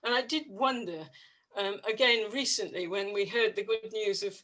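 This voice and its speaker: An older woman in her sixties or beyond giving a reflective personal account on a webinar, with halting, restarted phrases. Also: mid-range voice, bright, forceful tone. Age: 60s or older. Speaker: panel guest, polio survivor.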